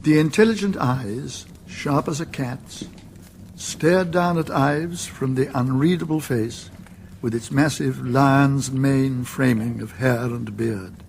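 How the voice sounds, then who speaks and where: A man narrating a radio drama in a measured, literary storytelling manner. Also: mid-range voice, gravelly, a little mellow, a little hiss or hum.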